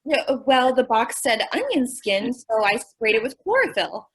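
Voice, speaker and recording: A woman's voice: fairly high pitch, sing-song, normal speed, breathy edge, full character voice. A woman acting a sweet, dim-witted, earnest young secretary in an old-time radio comedy. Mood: earnest, naive. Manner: naive, literal-minded, earnest.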